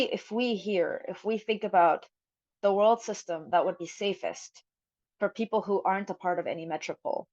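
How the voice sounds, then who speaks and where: A woman's voice: on the low side, darkish tone, no room sound, dull audio. A woman from Jerusalem speaking on a forum panel over a video call, thoughtful, with frequent fillers and restarts.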